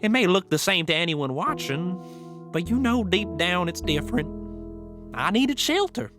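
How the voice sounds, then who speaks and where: A woman's voice: fairly low pitch, sing-song, moderate pace, music in the background. A woman playing a cheerful, evasive, folksy eccentric in a western audio drama, with a giggly, stammering manner. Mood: nervous, sheepish. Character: dotty, cheerful, evasive old-timey eccentric squatter.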